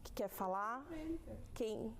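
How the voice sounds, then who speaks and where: A woman's voice: fairly high pitch, slow pace. A Brazilian woman hosting a youth podcast, asking questions in a casual conversational style. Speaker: host. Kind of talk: casual interviewing.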